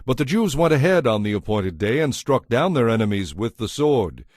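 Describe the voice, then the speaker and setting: A man narrating a dramatized audio Bible in a measured, formal storytelling manner. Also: medium pitch, very animated, a little mellow.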